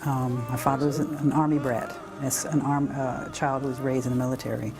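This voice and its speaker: An American woman shares a personal story in a casual, conversational way. Music plays clearly behind her voice throughout. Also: rumbling low, level tone, normal speed. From United States, raised on military bases. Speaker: interviewee telling a conversion story.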